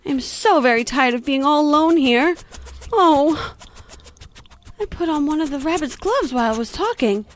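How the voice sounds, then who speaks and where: A woman's voice: high voice, animated, moderate pace. A woman playing a chattering little girl. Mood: chattery. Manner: chattery, earnest, easily flustered. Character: curious, polite little girl. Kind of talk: audio drama acting.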